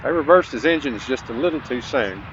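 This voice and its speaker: A man's voice: medium pitch, animated, a little fast. Regular guy walking thru a sim game tutorial, folksy, kinda muffled lo-fi audio, bit of background noise. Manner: casual, folksy asides to viewers. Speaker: host of a gaming channel. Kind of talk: gameplay tutorial commentary, partly reading on-screen instructions aloud. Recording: dull lo-fi mic, faint background noise.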